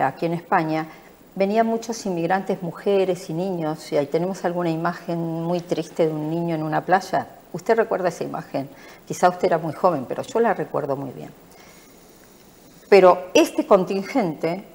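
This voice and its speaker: A middle-aged Argentine woman in a studio interview, speaking with a lowish pitch. She is emphatic, repeats her points and pushes them home. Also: darkish tone. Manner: emphatic and repetitive. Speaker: interviewed politician.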